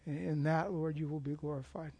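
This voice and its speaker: An older man preaching in a church with a slightly high, hoarse voice. He talks in a rambling, self-deprecating way, full of fillers and restarts, and wears a clip-on mic. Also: fairly even intonation, a little fast, a little mellow. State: losing his voice. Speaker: lay preacher. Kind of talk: informal sermon with opening prayer.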